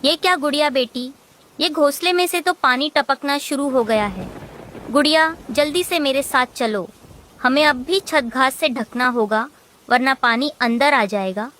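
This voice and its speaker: A woman's voice: high-pitched, unhurried, a bit bright, a little hiss or hum. A woman voicing an earnest, devoted and anxious mother bird in a cartoon. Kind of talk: Hindi cartoon voice acting.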